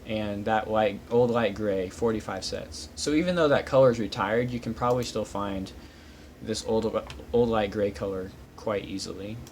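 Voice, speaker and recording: A man's voice: on the low side, normal speed. A man hosting a casual hands-on walkthrough, explaining small details methodically. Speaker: host reviewing building-brick pieces. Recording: clear steady background noise.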